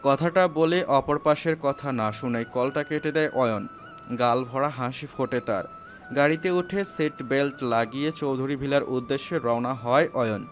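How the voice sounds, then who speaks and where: A man narrating a scripted romantic story, with music playing underneath. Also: mid-range voice.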